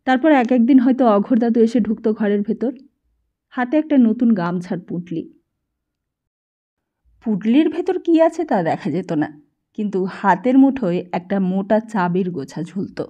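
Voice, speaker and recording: A woman's voice: on the high side, lo-fi sound. A woman narrator reading a literary story as a scripted audiobook.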